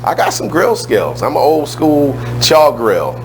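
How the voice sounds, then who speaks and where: A man with a loud, strong voice hosting a radio talk show in a casual, chatty way, speaking into a studio microphone. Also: a little high, wildly swooping pitch, a little slow, music in the background, rough recording.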